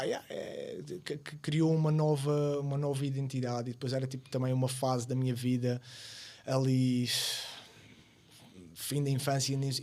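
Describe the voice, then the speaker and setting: A man's voice: medium pitch, a little mellow. Laid-back slangy man from Madeira. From Madeira, Portugal. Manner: laid-back slangy storytelling, mixing in English words. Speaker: podcast guest. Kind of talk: casual chat.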